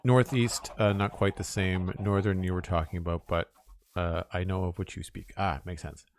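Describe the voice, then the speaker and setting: Low-voiced Canadian Maritime streamer. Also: male voice, fairly even intonation, moderate pace, slight background noise. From Nova Scotia, Canada. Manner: rambling, talks to chat while playing, counts aloud. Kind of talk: casual gameplay livestream chat.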